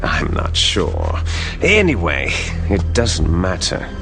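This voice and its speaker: Commanding, menacing game villain. Taunting, theatrical, unhurried, widely varied pitch. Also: male voice, huge pitch swings, music in the background, heavily acted. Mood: smug, taunting. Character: commanding villain leader, man, smug and menacing. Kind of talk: dramatic video game acting.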